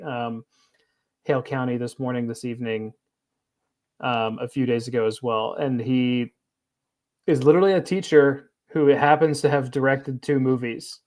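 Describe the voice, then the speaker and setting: Man, film critic, earnest, halting restarts, mid-pitched, casual podcast talk. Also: fairly even intonation, darkish tone.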